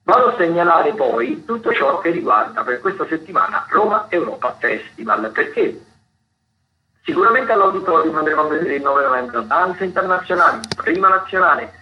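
A man hosting a radio show, recommending events off the cuff in a halting, rambling way and often correcting himself. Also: on the high side, big pitch swings, dull audio. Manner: halting, rambling, self-correcting mid-sentence. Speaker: radio host. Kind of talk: unscripted radio recommendations of theatre and dance events.

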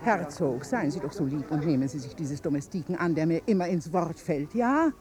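Flirtatious high-society snob, 1950s radio play; warm dark tone, effusive and very animated. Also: female voice, fairly quick, a little hiss or hum. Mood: gushing, haughty. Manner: affected, effusive, coquettish. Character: gushing, snobbish, flirtatious society lady.

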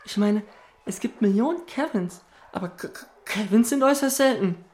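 A woman's voice: fairly high pitch, expressive, normal speed, darkish tone, slight background noise, close to an everyday voice. A woman voicing a cheeky, self-assured schoolboy who teases and talks boldly. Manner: cheeky, teasing, brash. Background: music.

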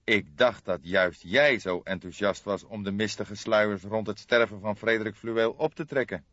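A man voicing an older police detective, calm and wry, speaking in measured, formal phrases in an audiobook. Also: on the low side, dry recording, lo-fi sound. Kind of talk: audiobook character voice.

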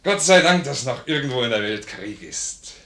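An actor playing a boisterous, generous, war-loving sergeant in a 1950s German radio play, hearty and exclamatory. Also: male voice, mid-range voice, big pitch swings, normal speed, bright, forceful tone. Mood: excited, confiding. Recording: roomy 1950s radio studio recording.

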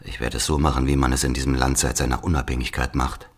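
An actor playing an embittered older Algerian man recounting his murdered brother in a deliberate radio drama monologue. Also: extremely low pitch, level tone, heavy background noise. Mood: brooding.

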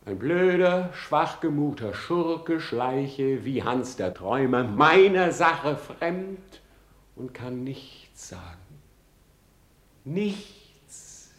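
Tormented prince brooding on revenge, slow theatrical delivery, whispers to outcries, echoey radio play sound. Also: male voice, medium pitch, expressive. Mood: agitated, bitter. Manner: declamatory, swinging from whispers to outcries. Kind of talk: classical stage-style Shakespeare radio drama monologue. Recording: roomy, echoing radio drama studio.

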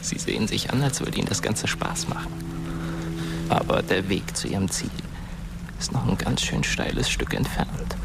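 A man's voice: on the low side, moderate pace, vocal fry, very noisy. An actor playing the bitter, brooding ghost of a dead teenage boy who narrates a radio drama. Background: music and rain.

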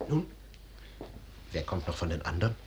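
A man acting an eager, blustering count and cavalry officer in a classical radio drama, rough and husky. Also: deep voice, big pitch swings, normal speed, darkish tone, a little hiss or hum. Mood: eager, conspiratorial.

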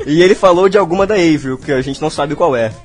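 A man from Brazil with a capixaba accent and a fairly high voice. He chats loosely with his co-hosts, playful and quick to make fun of himself, with faint music behind him. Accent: Espírito Santo (capixaba). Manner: playful, self-mocking. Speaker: podcast co-host. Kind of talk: casual podcast banter.

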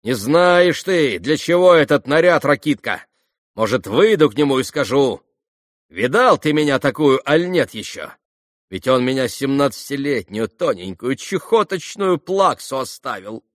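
Storybook role: proud, volatile young woman, played by a man, shrill and high, swinging between spite and anguish. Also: lively intonation, normal speed, hardly any pauses, a bit bright, dry recording, studio quality, lightly acted. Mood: bitter spite.

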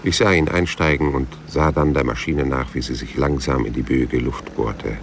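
An actor playing a world-weary, wry private detective in a German crime radio play, laconic and sardonic, with a deep, gravelly, husky voice. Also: male voice, fairly even intonation, normal speed, noisy background. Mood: brooding narration. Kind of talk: German radio play acting and first-person crime narration.

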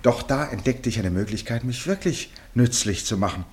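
A man's voice: mid-range voice, expressive, normal speed, lightly acted. An older man acting in a German radio play as an eccentric, genial club chairman and former judge, speaking formally and grandly. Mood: proud oratory. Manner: grandiloquent, precise, self-amused.

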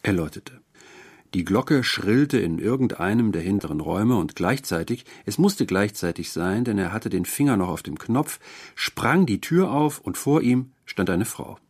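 A man reading a literary short story as a narrator, with measured, carefully articulated delivery. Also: lowish voice, animated, a little mellow, husky. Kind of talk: scripted literary audiobook narration.

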